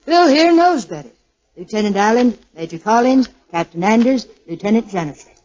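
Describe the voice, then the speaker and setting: An actress playing a poised, pleasant and gently direct young woman in 1940s radio drama style. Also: fairly high pitch, lively intonation, moderate pace. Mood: poised, amused. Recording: muffled 1940s radio broadcast, faint music.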